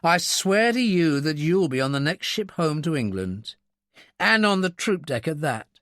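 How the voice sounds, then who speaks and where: A man's voice: fairly high pitch, big pitch swings, unhurried, a bit bright, no echo, pitched above the performer's own voice. A man voicing a weary, steely army captain in an audiobook, with a curt, dry, firm delivery. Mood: cold threat.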